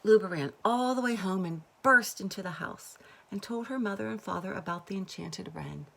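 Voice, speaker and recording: A woman's voice: mid-range voice, normal speed, gravelly, a bit bright. A woman reading a children's story aloud expressively, like a teacher to pupils. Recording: faint background noise.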